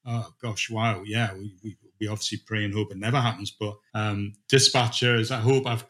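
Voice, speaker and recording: Man, middle-aged, lowish pitch, informal interviewee, halting phrasing. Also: steady tone, pushed and bright. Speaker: dispatch manager being interviewed.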